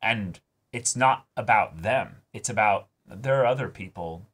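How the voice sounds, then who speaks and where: Guy with lowish voice hosting a reaction chat, thoughtful n halting, casual. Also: fairly bright tone, husky. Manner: reflective, halting, casual swearing. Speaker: host of an anime reaction show.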